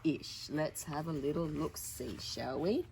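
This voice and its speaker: A woman's voice: deep voice, unhurried, vocal fry, background noise. A woman presenting storytime, reading picture books in a playful, theatrical way with chatty asides to young listeners. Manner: playful, theatrical, with dramatic emphasis.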